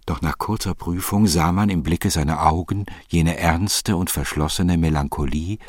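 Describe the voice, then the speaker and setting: A man with a husky voice narrating literary fiction in a measured, solemn manner. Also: deep voice, no echo, clean studio sound.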